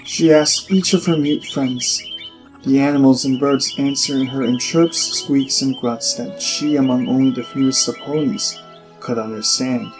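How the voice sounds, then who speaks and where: Male storyteller narrating over soft music. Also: medium pitch, fairly even intonation, unhurried, rough recording. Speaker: audiobook narrator.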